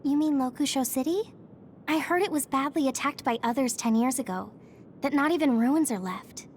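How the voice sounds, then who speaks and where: A woman's voice: high-pitched, moderate pace, a little hiss or hum, lightly acted. A woman playing an earnest, helpful young female soldier in a video game cutscene.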